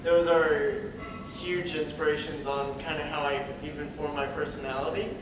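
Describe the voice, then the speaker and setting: A man's voice: fairly high pitch, level tone, moderate pace, highs cut off. A young adult man, a student writer, talks casually on a question-and-answer panel. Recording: echoey hall, distant mic, clear noise.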